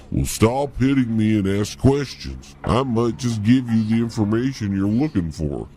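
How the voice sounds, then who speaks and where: A man's voice: lowish voice, sing-song, measured pace, mellow. A man voicing a folksy, wry hillbilly captive in a cartoon, taking his time. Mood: wry, indignant. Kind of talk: cartoon acting.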